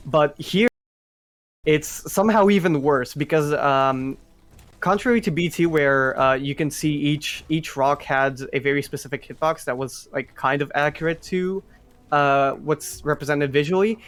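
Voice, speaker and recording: A man giving casual live commentary on a speedrun, explaining as he goes in a hesitant, restarting way. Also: a little high.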